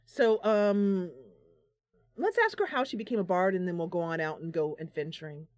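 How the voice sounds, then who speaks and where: A woman's voice: fairly low pitch, expressive, moderate pace, no room sound. A woman hosting a gaming channel, casually narrating a roleplay with playful, chatty asides.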